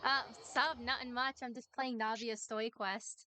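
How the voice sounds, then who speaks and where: A woman's voice: a little high, steady tone, normal speed, pushed and bright. A woman streamer chatting casually while she plays a video game. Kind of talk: casual chat while gaming. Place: voice call with game music behind.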